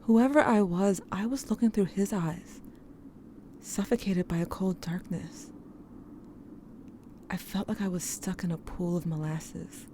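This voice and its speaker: A woman playing a haunted, grieving diarist in an audio drama, narrating in a measured, brooding and intimate way. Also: fairly low pitch, background noise. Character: haunted, grieving diarist recounting dreams to a lost sibling. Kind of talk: scripted first-person audio drama narration.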